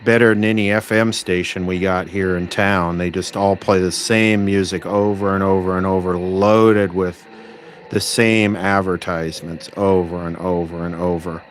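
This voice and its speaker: Low-pitched man rambling over background noise. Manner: rambling, halting, thinking aloud. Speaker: livestream host, electronics repair hobbyist. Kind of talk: casual unscripted livestream chat, reading and answering viewer requests. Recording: clear steady background noise.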